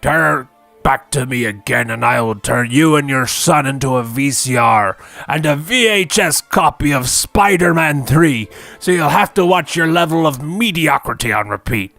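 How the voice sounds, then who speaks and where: A man's voice: on the high side, animated, normal speed, gravelly, a bit bright, dry recording, soft background music, voice pushed down. A man voicing a boisterous, crude but kindly half-giant groundskeeper in hearty rustic British dialect, in a comedy parody. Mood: scornful.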